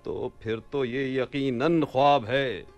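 An actor playing a bewildered young merchant taken for a caliph, with blustering, theatrical delivery in a radio play. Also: male voice, mid-range voice, slow, faint music behind. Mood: baffled. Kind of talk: radio play acting in theatrical Urdu-flavoured dialogue.

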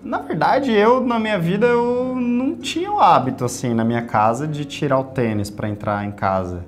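A man in his thirties with a São Paulo Brazilian accent, talking casually in an interview with lively gestures and frequent tag questions. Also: on the high side, moderate pace, soft background music. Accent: Brazilian Portuguese, São Paulo. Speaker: YouTube creator. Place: small office studio.

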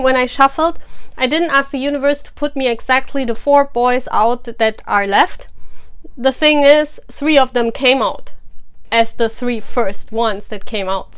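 A woman whose first language is German chats easily to her followers before a tarot reading, laughing often. Her voice sits a little high and sounds smooth and clean. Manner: chatty, laughs often, warm encouragement to fans. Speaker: tarot reader. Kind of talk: casual chat to camera.

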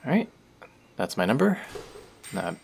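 A man's voice: medium pitch, wildly swooping pitch, unhurried, gravelly, a little hiss or hum, full character voice. A man playing a chatty young barista who teases and rambles in a friendly way, in a scripted audio roleplay. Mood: persuasive. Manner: playful, rambling, teasing.

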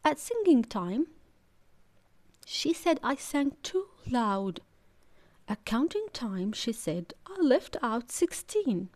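A woman narrating a children's storybook aloud in an expressive, deliberate, emphatic storytelling manner. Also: fairly high pitch. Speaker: audiobook narrator.

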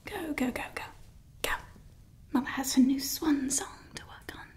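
A woman's voice: high voice, moderate pace, close to an everyday voice. A young woman playing a grandiose evil woman scientist in an ASMR roleplay, whispering theatrically into a close mic in a small room. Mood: exasperated. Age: young adult. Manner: whispered, theatrical, self-important. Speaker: ASMR creator. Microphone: close mic at face level.

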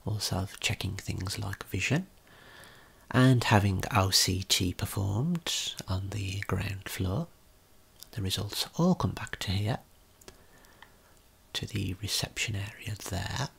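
Man, breathy soft whisper, creaky edge, slow pace, gentle ASMR tour guide. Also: sits low. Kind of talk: ASMR video game tour narration.

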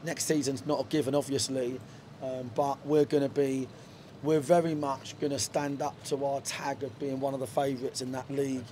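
A man's voice: mid-range voice, flat delivery, moderate pace, warm tone, a little hiss or hum. A middle-aged man, a football manager, talking frankly in a post-match interview in a stadium dugout, with lots of fillers and restarts. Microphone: handheld reporter mic.

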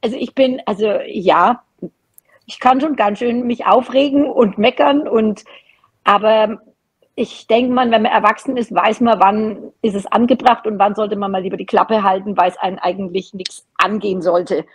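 A middle-aged woman talks casually as a podcast guest. Her voice has a husky grain and sits at a medium pitch. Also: fairly even intonation, moderate pace. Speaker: podcast guest, knitting creator. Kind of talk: casual chat.

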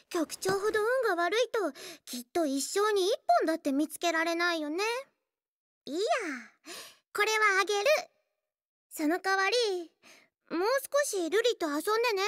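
A very high, bright girlish voice for an anime game character. She is a young assassin and gambler, cute and cocky, with a playful, teasing way of talking. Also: animated, a little slow, pauses often, no echo, studio quality, full character voice. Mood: affectionate, teasing. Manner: cute, teasing, refers to herself by name. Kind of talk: Japanese anime-style game voice acting.

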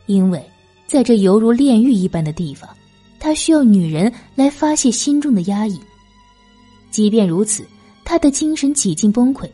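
Female audiobook narrator for historical drama: very soft-toned, animated pitch, music underneath. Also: husky. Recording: music behind the voice.

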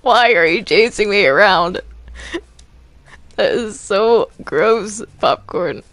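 A woman's voice: mid-range voice, animated, takes it slowly, fairly bright tone, dry recording. A woman chatting casually in character during gameplay, exclamatory and prone to complaining. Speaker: gamer in roleplay video.

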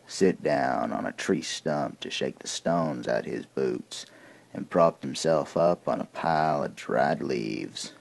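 An actor playing a grim, weathered army corporal of 1880s America, telling his account in a deliberate, folksy, gravelly way for an audio drama. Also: very deep voice, darkish tone, heavily acted. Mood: grim warning. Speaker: narrator of a soldier's account. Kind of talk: dramatic first-person audio drama monologue.

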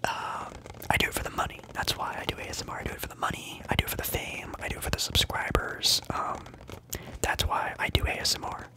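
A young adult ASMR livestream host whispering casually to viewers, rambling with fillers and repeating trigger words. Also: fast. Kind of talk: whispered ASMR chat answering live viewers.

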